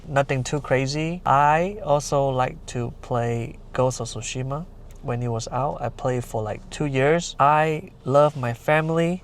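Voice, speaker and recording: A man's voice: mid-range voice, slight background noise. Guy in his 20s from malaysia doing a daily vlog, easygoing, kinda broken english grammar, lots of "like". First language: Chinese dialects. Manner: plain conversational, uses lots of "like" and "you know", nonnative grammar. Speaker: vlogger. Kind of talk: casual vlog talk to camera.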